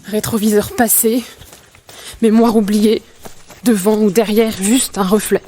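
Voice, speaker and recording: A woman's voice: medium pitch, little pitch movement, unhurried, dark tone, a little hiss or hum, lightly acted. A woman playing an earnest, unsure young athlete in a French audio drama. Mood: poetic, solemn. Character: earnest, unsure young female elite runner.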